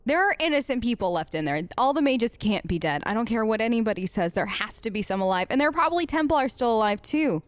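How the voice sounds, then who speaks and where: Higher-pitched woman, dry close recording. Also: expressive, fast talker, hardly any pauses, dry recording. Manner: informal, outspoken. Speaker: let's play host. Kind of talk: casual improvised role-play commentary on a game.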